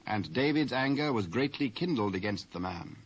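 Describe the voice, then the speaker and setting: A British man with a husky voice giving scripted narration of scripture on an old cassette recording. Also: lowish voice, expressive, moderate pace. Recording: dull old cassette tape.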